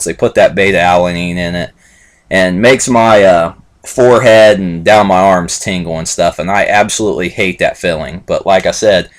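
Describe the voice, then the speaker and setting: A man in his late twenties talking casually to camera in a rambling, repetitive way, with many fillers and "like I said". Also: fairly low pitch. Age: 20s. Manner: loose and repetitive, lots of fillers and "like I said". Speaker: vlogger reviewing supplements.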